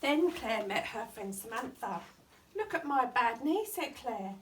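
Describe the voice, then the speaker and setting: Woman storyteller, high-ish voice, distant mic. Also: lively intonation, measured pace, fairly bright tone, a little hiss or hum. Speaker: storytime reader at a primary school. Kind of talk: expressive children's story reading.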